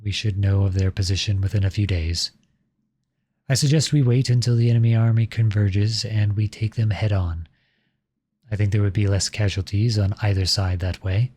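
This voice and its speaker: A man's voice: on the low side, fairly even intonation, normal speed, darkish tone, natural voice. A man playing an impassioned, defiant young prince turned soldier in a scripted audio roleplay, with an earnest, dramatic delivery. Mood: formal, restrained.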